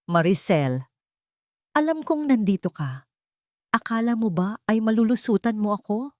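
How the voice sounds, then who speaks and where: A woman's voice: medium pitch, expressive, unhurried, no room sound, clean studio sound, voice pushed up, close to an everyday voice. A woman voicing a cruel, wealthy villainess who speaks with cold, threatening contempt. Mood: cold menace.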